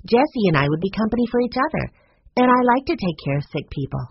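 A storybook narrator voicing an earnest, caring young girl who is eager, polite and gentle. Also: medium pitch, very animated, fast talker, husky, no echo, dull audio, voice pushed up. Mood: earnest pleading.

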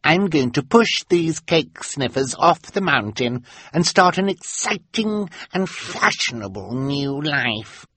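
A man's voice: fairly high pitch, very animated, slow pace, pushed and bright, breathy edge, no echo, voice pushed up, exaggerated voice. Man putting on a drawling bratty little girl. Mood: gleefully cruel.

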